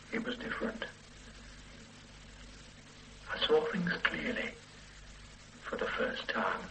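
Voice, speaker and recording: An actor playing a weary, regretful middle-aged man under police questioning in a radio play, speaking in a halting, hesitant way. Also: mid-range voice, gravelly, a little hiss or hum, heavily acted, poor audio. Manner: halting, hesitant confession with quiet weary asides. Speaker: murder suspect under questioning. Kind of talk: 1970s-80s radio play acting.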